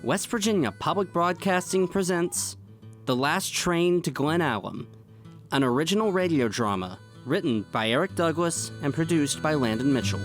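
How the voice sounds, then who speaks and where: A man with a slightly high voice announces a radio drama in scripted fashion. Plucked guitar music plays clearly behind him. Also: animated, moderate pace.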